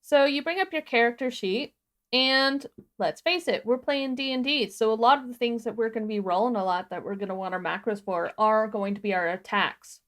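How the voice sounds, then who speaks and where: Woman giving a casual step-by-step software tutorial. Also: a little high, a little fast. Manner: informal, instructive, talks through each step. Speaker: livestream co-host and software instructor.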